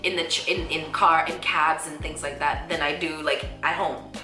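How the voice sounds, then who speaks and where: A woman's voice: low-pitched, fairly even intonation, moderate pace, pushed and bright, soft background music. An American woman hosting a casual vlog chat, talking in a candid, slangy way. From United States. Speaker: vlog host. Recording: roomy echo, distant microphone.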